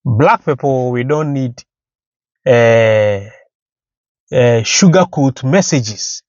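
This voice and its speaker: A man from Ghana with a Ghanaian accent giving unscripted commentary in halting, deliberate short phrases. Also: mid-range voice, a little mellow, no echo, dull audio. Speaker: channel host and commentator.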